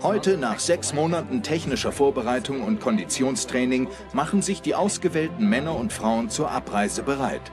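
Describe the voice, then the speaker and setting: A man's voice: mid-range voice, expressive, moderate pace, few pauses. A man reading scripted documentary narration in German voice-over style. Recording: loud music under the voice. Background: music.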